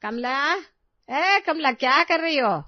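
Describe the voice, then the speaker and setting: A woman voicing a bossy, sarcastic middle-aged mother-in-law in a family story, nagging theatrically and loudly. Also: sits high, lively intonation, slow, no echo, muffled recording, pitched above the performer's own voice. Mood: sarcastic, aggrieved.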